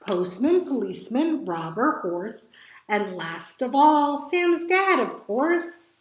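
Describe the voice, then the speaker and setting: A woman storytime reader with a high, very animated voice. She reads picture books to children slowly, drawing out words for drama. The recording has an echoey room sound. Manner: dramatic emphasis with drawn-out words. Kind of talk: expressive picture book read-aloud for children.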